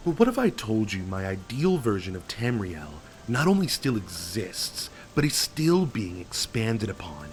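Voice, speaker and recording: A man hosting a lore video, narrating from a script with a stuffy voice from a cold and dramatic pauses. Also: on the low side. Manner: enthusiastic storytelling with dramatic pauses. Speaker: video essay host.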